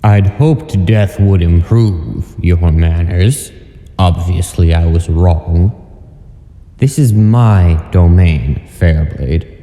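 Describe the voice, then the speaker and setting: A man's voice: deep voice, very slow, dark tone, full character voice. A man voicing a proud, scornful god in a dramatic webcomic dub, imperious and contemptuous. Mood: cold scorn. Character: proud, scornful male god guarding his domain. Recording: echoey hall reverb.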